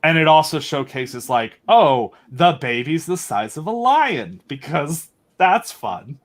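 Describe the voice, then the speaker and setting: A man's voice: a little high, big pitch swings, slow pace. A young man, a podcast guest, chatting casually and enthusiastically, full of fillers and restarts. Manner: rambling, enthusiastic, filler-heavy. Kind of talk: casual chat.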